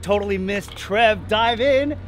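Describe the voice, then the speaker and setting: A man vlogging a sailing day in a lively, teasing, chatty way outdoors on a sailboat. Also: high voice, a little hiss or hum. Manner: lively, teasing, quick to laugh. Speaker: vlogger and co-host. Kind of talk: casual chat and vlog narration.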